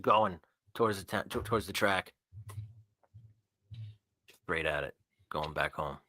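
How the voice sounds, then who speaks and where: A man's voice: low voice, measured pace, pushed and bright, no room sound. A man improvising first-person narration of his character's actions in a tabletop roleplaying game.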